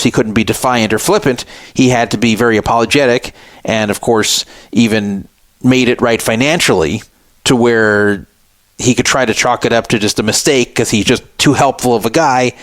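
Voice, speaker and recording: A man's voice: medium pitch, fairly even intonation, normal speed, no room sound. A man hosting a talk radio show, giving opinionated commentary and scoffing sarcastically at a statement he reads aloud.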